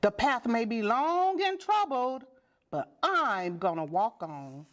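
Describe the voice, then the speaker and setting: A woman reading a children's storybook aloud with deliberate, dramatic and expressive phrasing. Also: a little high. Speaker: storybook reader.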